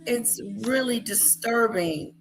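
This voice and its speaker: A woman's voice: a little high, slow, soft background music. A woman doing reaction commentary in a blunt way, repeating her points and mimicking other people's words. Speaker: commentary host. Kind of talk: reaction commentary on played clips.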